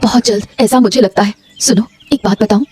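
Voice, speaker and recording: A woman's voice: medium pitch, steady tone, fairly quick, mellow, soft background music, close to an everyday voice. A woman voicing a supportive, hopeful village wife in a dramatic Hindi cartoon story. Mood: reassuring.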